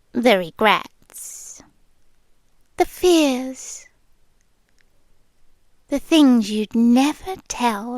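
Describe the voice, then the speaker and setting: A British woman playing a possessive yandere girlfriend who lives in the listener's head, speaking in an intimate, breathy ASMR style with teasing pauses and frequent drops into whispers. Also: high voice, no echo, full character voice. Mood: teasing, knowing. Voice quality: breathy, often dropping into whispers. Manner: slow, teasing, coy, with drawn-out pauses. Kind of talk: ASMR roleplay, intimate close address. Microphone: close, dry microphone.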